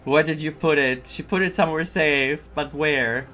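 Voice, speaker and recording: A man's voice: on the high side, normal speed, pushed and bright. A man giving casual reaction commentary as a gaming streamer, repeating himself with theatrical exasperation. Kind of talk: casual reaction commentary over a story game.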